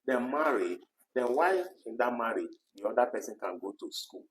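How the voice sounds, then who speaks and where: A man's voice: mid-range voice, lively intonation, moderate pace, rough recording. Guy cohosting an online chat, says "you know" a lot, laid-back and talkative. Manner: chatty, many "you know" fillers, reads out viewer comments. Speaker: co-host of an online talk program. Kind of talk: casual live discussion.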